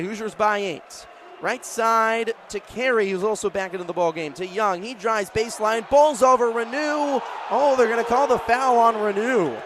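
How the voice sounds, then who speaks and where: An American man calling live basketball on the radio as play-by-play announcer, in clipped, descriptive phrases. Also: high-pitched. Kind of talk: live radio sports commentary. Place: courtside in a large indoor arena. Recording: crowd and faint arena noise. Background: basketball crowd, bouncing ball.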